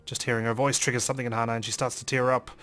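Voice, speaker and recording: A man narrates a visual novel in the first person. His delivery is theatrical and expressive, like a dramatic storyteller, with soft music playing behind him. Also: medium pitch, very fast. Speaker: let's play host reading a visual novel aloud.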